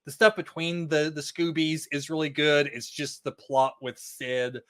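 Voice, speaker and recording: A man with a somewhat high voice talks casually as a podcast guest. He explains his points at length, rambling and restarting phrases as he goes. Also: steady tone, unhurried, fairly bright tone, smooth. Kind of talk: casual chat about a TV episode.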